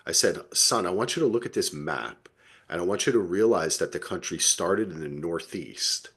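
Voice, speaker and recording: A middle-aged American man from New Jersey chatting casually as a podcast co-host, telling things in an animated, profane way. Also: deep voice, moderate pace, slightly rough. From New Jersey, United States. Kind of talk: casual TV recap chat.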